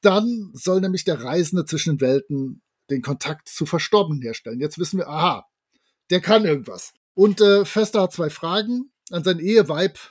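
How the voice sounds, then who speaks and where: A man with a high voice that has a husky grain to it. He co-hosts a podcast and chats casually. His storytelling is colloquial and playfully ironic. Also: expressive, normal speed. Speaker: podcast co-host. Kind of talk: casual chat retelling and reviewing an audio drama.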